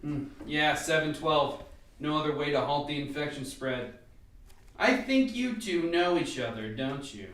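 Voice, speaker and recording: A man's voice: fairly high pitch, expressive, unhurried, fairly bright tone. A man playing a businesslike doctor who leads a laboratory team in a radio play. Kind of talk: dramatized radio play acting. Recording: very echoey, distant from the mic.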